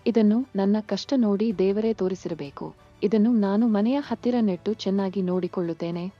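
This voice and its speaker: A woman voicing an earnest, hopeful young village daughter-in-law in an animated moral story, polite and pleading. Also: mid-range voice, a little fast, soft background music, lightly acted. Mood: wistful, wondering. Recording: muffled lo-fi sound.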